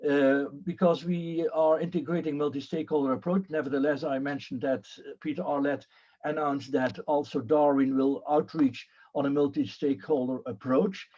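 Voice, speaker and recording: A man's voice: a little high, fairly even intonation, moderate pace, mellow. Hesitant man on a muffled call. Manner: hesitant, many fillers and restarts. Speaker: panelist. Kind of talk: conference panel remarks.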